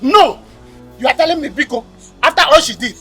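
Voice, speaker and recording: A woman's voice: sits high, animated, brisk, fairly bright tone, faint music behind. An actress playing an angry, mocking husband who threatens and orders a woman out. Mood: scornful. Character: angry husband throwing out a gossip.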